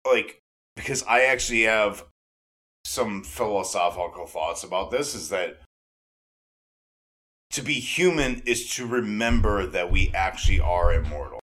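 A man's voice: on the low side, expressive, measured pace, fairly bright tone. A man in his forties chatting casually as a fan and host, earnest and rambling, often repeating himself and swearing freely. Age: 40s. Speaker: podcast host and devoted fan.

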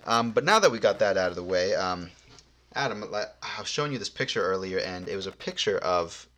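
A man's voice: sits low, animated, fairly quick, fairly bright tone. A young man in his twenties co-hosting a casual podcast, speaking in an easygoing way with frequent "um" and "uh". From United States. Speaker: podcast co-host.

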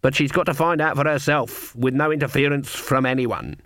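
A stern old country witch, played by a male narrator, stubborn and sharp-tongued, given to muttering and snapping. The speech is rustic and blunt, in a dry, close storybook recording. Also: mid-range voice, moderate pace, no room sound, lightly acted. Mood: stern, firm.

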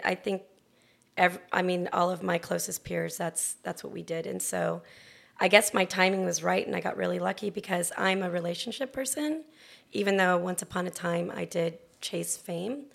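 A middle-aged American woman telling her story casually as an interview guest, chatty and halting as she gathers her thoughts. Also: on the low side, fairly even intonation, creaky. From Florida and Texas, then Long Beach, California. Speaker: interview guest, restaurant owner.

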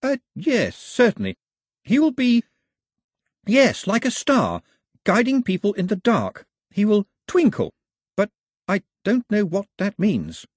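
A man reading aloud and voicing a small, talkative young islander man who rushes and stammers. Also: high voice, huge pitch swings, dry recording, studio quality, voice pushed up, full character voice. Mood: eager.

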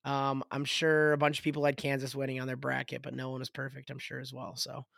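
A raspy-voiced American man co-hosting a casual sports podcast, telling stories in a jokey, profane way. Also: mid-range voice, monotone, fast, a bit bright, dry recording, clean studio sound.

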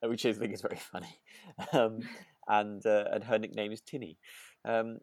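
Guy podcast co-host, low voice, dry sound, casual recap, kinda hesitant, feels for his words. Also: fairly even intonation, normal speed, no echo. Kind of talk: casual chat recapping a radio drama.

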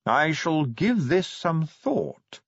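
A cold, dry-witted ruler of a city who drawls each word slowly and flatly, as a man puts him on. The voice is a little husky, and the recording is dry and slightly dull. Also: fairly high pitch, no room sound, pitched above the performer's own voice, exaggerated voice. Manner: slow, flat, ironic.

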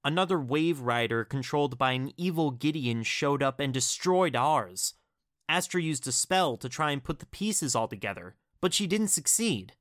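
A man's voice: medium pitch, lively intonation, moderate pace, fairly bright tone, dry recording, studio quality, lightly acted. An actor playing an awkward, apologetic man who explains things sheepishly in an audio drama. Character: awkward, flustered, apologetic man reporting mishaps.

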